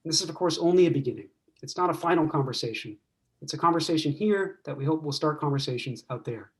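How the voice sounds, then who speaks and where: Host, male, slightly high voice, measured and reflective opening speech. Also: expressive, low-quality recording. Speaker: event host. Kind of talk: prepared opening remarks.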